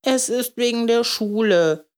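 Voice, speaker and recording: Woman playing an earnest little boy, high-pitched. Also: unhurried, clear, resonant tone, close to an everyday voice. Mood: downcast. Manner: solemn, childlike. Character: earnest, downcast little schoolboy buying a candle.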